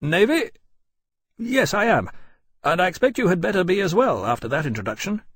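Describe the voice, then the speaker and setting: A man playing a brisk courier captain in an audiobook story, blunt but affable, with a breezy, forthright manner. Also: high-pitched, big pitch swings, husky, no echo, clean studio sound, pitched above the performer's own voice, full character voice. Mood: curt, suspicious.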